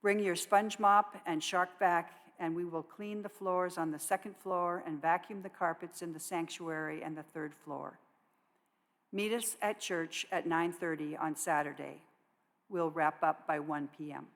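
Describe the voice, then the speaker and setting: A woman reading scripted announcements to a congregation in a measured public voice. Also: fairly low pitch, fairly even intonation. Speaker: worship associate reading church announcements. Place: church sanctuary. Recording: long reverb of a large hall.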